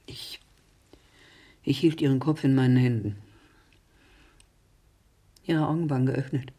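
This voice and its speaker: Grieving smoker aunt: dark, husky low voice, very slow and hushed, intimate radio play delivery. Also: female voice, steady tone, exaggerated voice. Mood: anguished, haunted. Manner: halting, hushed, dwelling on words. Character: grieving, smoking aunt remembering a deathbed. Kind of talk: intimate radio drama monologue.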